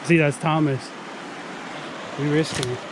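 A man's voice: fairly high pitch, steady tone, measured pace, a little hiss or hum, poor audio. Laid-back slangy guy outdoors. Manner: laid-back, slangy, says bro and dope. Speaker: vlogger, co-host exploring. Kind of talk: casual on-camera exploring chat. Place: outdoors in mountain woods by a river.

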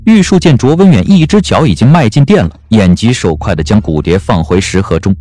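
A man's voice: on the low side, expressive, fairly quick, mellow, no echo. A man narrating a scripted audio drama in a dramatic storytelling style. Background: background music.

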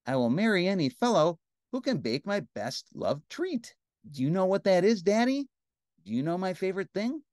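A man's voice: high voice, very animated, normal speed, a little mellow, no room sound, clean studio sound. A man voicing a tiny, dainty, picky young princess in a storybook, fussy and demanding. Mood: dainty, coy.

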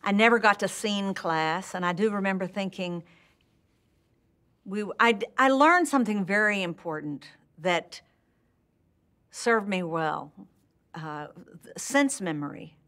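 A woman's voice: mid-range voice, slow pace, long pauses. An older woman from Texas with a Texan accent, candidly recalling her acting start in an indoor interview, laughing often. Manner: warm and candid, laughs often, restarts sentences. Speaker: actress interviewee. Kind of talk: reflective interview talk. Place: indoor room with curtains and plants.

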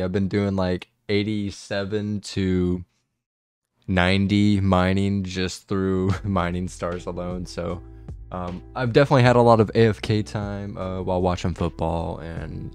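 Young guy, hoarse n raspy from being sick, real low voice, chill game commentary w/ faint music behind. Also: little pitch movement, measured pace, darkish tone. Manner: laid-back, swears casually. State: hoarse from illness. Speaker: gaming video creator. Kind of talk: casual gameplay commentary.

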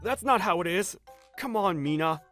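A man's voice: sits high, lively intonation, moderate pace, fairly bright tone, faint music behind. A man voicing an apologetic pushover of a young husband, pleading his case in a manga dub.

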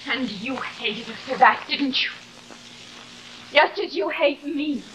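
A woman's voice: sits high, takes it slowly, a bit bright, rough recording. A young woman playing a weary, defiant actress daughter, earnest and dramatic, in theatrical 1950s television style. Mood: bitter. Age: young adult. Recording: hissy old 1950s television soundtrack.

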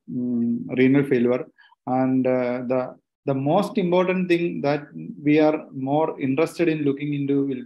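A man in his thirties with an Indian accent, a doctor explaining haltingly as a panelist on a video call. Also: medium pitch, warm tone. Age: 30s. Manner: halting, hesitant delivery with frequent pauses. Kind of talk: explanatory medical panel answer. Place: small room indoors. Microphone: laptop on a video call. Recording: dull lo-fi video call audio.

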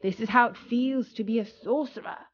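A woman's voice: a little high, normal speed, breathy edge, muffled recording. A woman voicing a young boy apprentice from a storybook, eager and self-important. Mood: smug. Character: cocky young boy apprentice, easily flustered.

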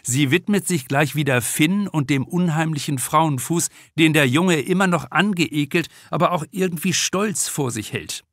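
A man narrating a comic crime novel with deliberate, expressive storytelling in a studio. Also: a little high, no room sound. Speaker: author reading his audiobook.

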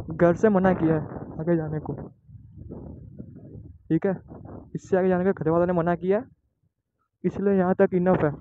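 Young male motovlogger from Gujarat, chatty and excitable, high voice, muffled helmet-mic audio with wind. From Gujarat, India. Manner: chatty and excitable, calls viewers guys. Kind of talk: casual motovlog narration while riding. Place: outdoors on a moving motorbike.

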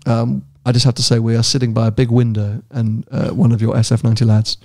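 A middle-aged man co-hosting a casual podcast chat, rambling and chatty, his voice jumping up when he gets excited, recorded on a handheld mic in a hotel room. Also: medium pitch, fast talker, gravelly. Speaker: podcast co-host. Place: hotel room by a big window. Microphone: handheld mic with headphones.

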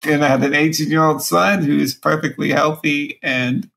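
A man in his forties with a raspy voice, speaking through a breathing machine mouthpiece and pausing often as a guest on a video call. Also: a little high, fairly even intonation, a bit bright. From Alabama. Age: 40s. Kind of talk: candid personal interview. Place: small room at home, indoors.

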